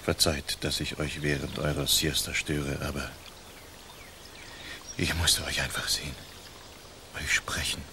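Radio drama outlaw: extremely low, level delivery, hushed courtly seducer, bold and ardent, clear outdoor noise. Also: male voice, level tone, measured pace, pauses often. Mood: ardent, seductive. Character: bold, charming masked outlaw, ardent and gallant suitor. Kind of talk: radio play acting.

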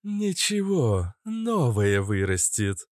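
A man's voice: mid-range voice, huge pitch swings, extremely slow, darkish tone, breathy edge, no room sound, clean studio sound, voice pushed up, exaggerated voice. A man voicing a sardonic, menacing female werewolf who drawls and growls in a narrated story. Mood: teasing menace.

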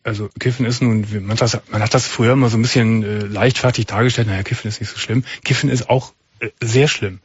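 A man's voice: fairly low pitch, fairly even intonation, fast, few pauses, husky, dull audio. A man hosting a late-night call-in radio show, giving direct advice and often stumbling over and repeating his words. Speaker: radio talk show host.

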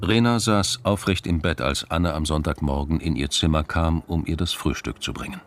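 A man's voice: very deep voice, normal speed, a little hiss or hum. A man narrating a crime radio drama in a scripted, literary style, with music behind him.

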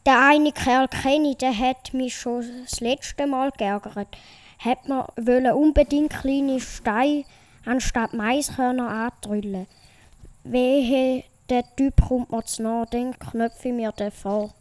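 Woman voicing a slow, high-pitched grumpy wild boar. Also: no room sound. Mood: grumbling, threatening.

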